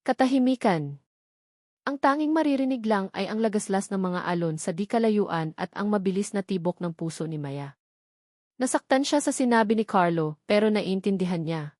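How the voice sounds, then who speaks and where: A woman with a Filipino accent reads a romance serial as dramatic scripted storytelling, with expressive, measured and suspenseful phrasing. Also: mid-range voice, no echo, studio quality. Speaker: audiobook narrator.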